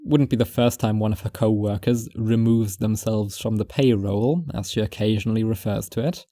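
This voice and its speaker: A man playing a reclusive, paranoid surveillance watcher who narrates his own story in a wry, deliberate, musing way. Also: lowish voice, steady tone, mellow, no echo, studio quality, natural voice. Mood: uneasy musing. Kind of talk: first-person fiction narration.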